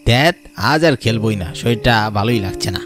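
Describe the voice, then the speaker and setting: A man's voice: mid-range voice, animated, normal speed, music in the background, close to an everyday voice. A man voicing an uneasy, spooked young village layabout in a cartoon, nervy. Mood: grumpy, uneasy. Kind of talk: cartoon voice acting.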